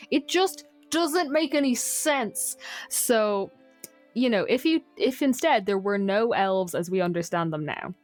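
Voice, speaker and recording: A woman's voice: mid-range voice, dry recording. A woman talking casually about folklore and games, opinionated and given to rambling asides.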